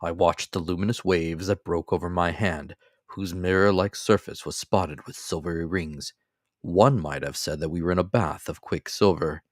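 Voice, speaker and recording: A man's voice: low-pitched, fairly even intonation, moderate pace, no echo, clean studio sound. A man reading classic fiction as a dramatic, scripted audiobook narration. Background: faint music.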